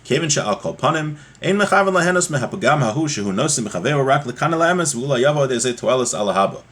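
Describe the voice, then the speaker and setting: A man's voice: mid-range voice, lively intonation, quick pace, fairly bright tone, breathy edge. A man giving a religious text lesson, reading aloud and explaining step by step with frequent rhetorical check-in questions. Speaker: religious teacher.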